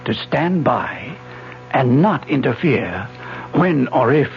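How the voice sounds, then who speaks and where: A man's voice: mid-range voice, very animated, slow, gravelly, heavily acted. An actor playing an obsessed retired physics professor in a radio drama, an older man with a grave, formal, emphatic manner. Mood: fervent, urgent. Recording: dull lo-fi vintage radio broadcast, music.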